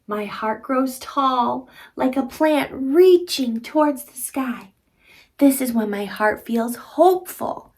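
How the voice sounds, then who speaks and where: A woman's voice: sits high, takes it slowly, a little mellow. A woman in her thirties reading a picture book aloud to young children, speaking expressively in simple teacherly phrases and addressing the child directly.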